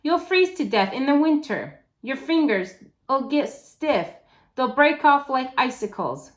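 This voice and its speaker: A woman's voice: high-pitched, lively intonation, measured pace, pitched above the performer's own voice. A woman voicing a feisty, bossy young girl from a storybook, insistent and sarcastic as she pleads. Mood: insistent, warning.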